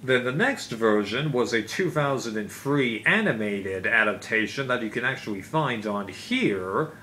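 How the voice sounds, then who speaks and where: A man's voice: mid-range voice, animated, a little slow, sharp tone. A man talking casually and unscripted, as a reviewer in a collection vlog, in a rambling, conversational way with frequent asides. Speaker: video reviewer of home video releases. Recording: clearly noisy amateur recording.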